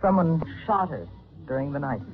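An actress playing an agitated young woman breaking grim news in an old radio mystery, dramatic and halting. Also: low-pitched, very animated, loud music behind the voice. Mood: shaken, urgent. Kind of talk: 1940s radio mystery acting. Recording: tinny, hissy old radio drama recording.

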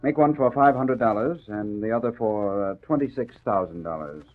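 A man's voice: fairly low pitch, close to an everyday voice. An actor playing a formal, hesitant male bank boss in a 1940s radio drama. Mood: businesslike. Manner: formal, halting, hemming. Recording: tinny old radio recording.

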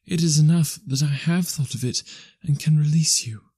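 Male reader voicing a soft-spoken young woman, sorrowful yet steady, pitched well above his own voice, dry read. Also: normal speed, husky, dry recording. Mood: sorrowful, earnest. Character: gentle sorrowful young woman releasing her fiancé.

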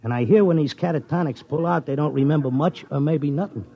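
An actor playing a stubborn, lovesick Broadway handicapper with a New York accent and slangy talk, in 1950s radio drama style. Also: male voice, fairly high pitch, fast talker, gravelly, breathy edge. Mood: stubborn, insistent. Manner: slangy, colloquial tough-guy talk. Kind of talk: 1950s radio drama acting, first-person narration and dialogue. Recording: dull vintage radio recording, music behind.

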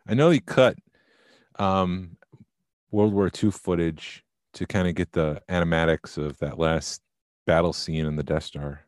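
A man co-hosting a podcast, chatting casually and thinking out loud about films. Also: low voice, steady tone, measured pace, clear, resonant tone, no echo, studio quality. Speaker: podcast co-host.

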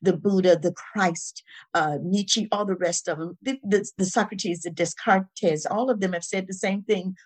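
A woman's voice: mid-range voice, fairly even intonation, measured pace. A woman being interviewed, talking in a reflective, conversational and motivational way, emphatic, with repetition and rhetorical questions. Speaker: interview guest. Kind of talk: conversational spiritual and motivational talk.

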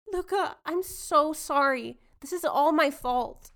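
A woman's voice: high-pitched, slow, a bit bright, voice pushed up, heavily acted. A woman voicing a spoiled, airheaded rich young woman, bubbly and self-absorbed.

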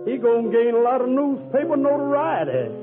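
A man performing slow talk-singing with piano on a vintage radio recording. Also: extremely high pitch, sing-song, narrow, tinny audio.

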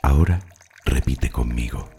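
A man leading a guided meditation in Spanish affirmations, speaking calmly and slowly with soothing pauses over soft music. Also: a bass voice, slight background noise. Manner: calm, slow, soothing delivery with long pauses.

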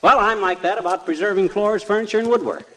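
A man's voice: high-pitched, fast, bright, forceful tone. A man playing an eager, boastful, bungling husband with folksy slang in a 1940s radio comedy. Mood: teasing. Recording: slightly noisy vintage radio recording.

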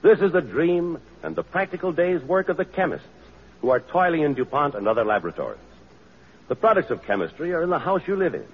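A man's voice: a little high, very animated, a little fast, breathy edge, highs cut off. A man announcing in a scripted, emphatic old-time radio style on a vintage broadcast recording. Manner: declamatory, emphatic delivery. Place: auditorium stage.

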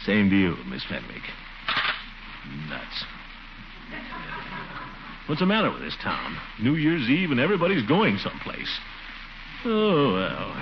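A blustery middle-aged uncle in an old radio comedy, pompous and easily exasperated. His pitch swings in lively, theatrical leaps, over a dull recording with clear background noise. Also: a little slow, long pauses, full character voice. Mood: grumbling. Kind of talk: 1940s radio comedy acting.

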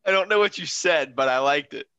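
Man, podcast host, bright tone, joking, quick quips, dry mic. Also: a little high, smooth, dry recording, dull audio. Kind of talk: casual podcast chat.

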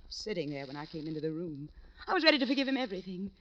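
On a dull, faintly noisy vintage radio recording, a scornful, wounded woman killer making her confession. Her delivery is intense and theatrical, swinging widely in pitch between hushed and fierce. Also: big pitch swings, rapid-fire. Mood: wounded, incredulous. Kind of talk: 1940s-50s radio crime drama acting.

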